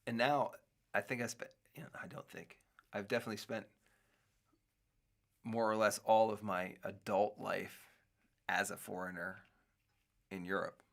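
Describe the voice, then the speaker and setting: A middle-aged man with a low, gravelly voice talks loosely on his own show. He drifts from thought to thought, restarting sentences and filling gaps with fillers as he muses. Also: little pitch movement, measured pace, long pauses. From United States. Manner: rambling, musing, many restarts and fillers. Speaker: podcast host. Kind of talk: casual solo talk.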